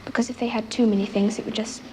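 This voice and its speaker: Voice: medium pitch, level tone, fast. A young girl giving casual answers in an interview. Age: child or teenager. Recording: faintly noisy 1960s archival interview.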